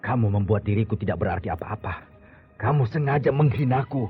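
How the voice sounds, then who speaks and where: An actor playing a hot-tempered, bitter husband with wounded pride in an Indonesian radio drama. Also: male voice, lowish voice, expressive, fairly quick, husky. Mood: humiliated, angry. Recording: tinny old radio drama, music behind.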